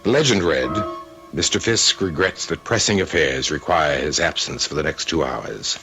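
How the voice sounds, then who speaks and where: An aloof prissy uncle narrating an old radio play, super deep n animated, very formal. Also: male voice, normal speed, faint music behind, exaggerated voice. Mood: dismayed, prim. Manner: pompous, formal, ornate wording. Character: fastidious, aloof, pompous bachelor uncle. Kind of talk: old-time radio drama narration and acting.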